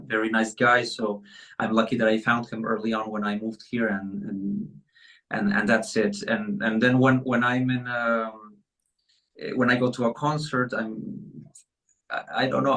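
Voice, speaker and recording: A man's voice: mid-range voice, fairly bright tone. Spanish-accented pianist guy, lots of ums, sounds a bit far from the mic. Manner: hesitant, many fillers, quick bursts. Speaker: concert pianist guest. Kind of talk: interview answers.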